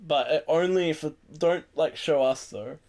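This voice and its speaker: A man's voice: fairly high pitch, moderate pace, a little mellow. A tired young man hosting a casual video game podcast, rambling along with swearing and jokes. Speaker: podcast host. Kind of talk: casual chat about video games.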